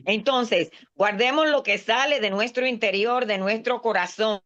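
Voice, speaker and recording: Woman pastor, earnest sermon, mixes in English, higher voice, muffled recording. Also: normal speed, a bit bright. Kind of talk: sermon in a class. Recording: dull lo-fi sound.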